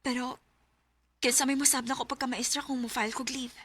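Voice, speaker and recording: An actress with a Cebuano Filipino accent playing a grieving, emotional wife and schoolteacher in denial, in a radio drama. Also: female voice, high-pitched, level tone, fairly quick, fairly bright tone, breathy edge, dry recording. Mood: subdued. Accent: Filipino, Cebuano-speaking. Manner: emotional, melodramatic. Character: grieving wife in denial over her lost husband, schoolteacher. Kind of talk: Philippine radio drama acting.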